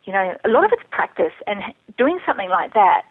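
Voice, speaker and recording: A woman's voice: mid-range voice, big pitch swings, fast talker, husky. Woman, casual interview guest, chatty and candid, tinny telephone sound, no room echo. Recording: thin narrowband phone or internet call.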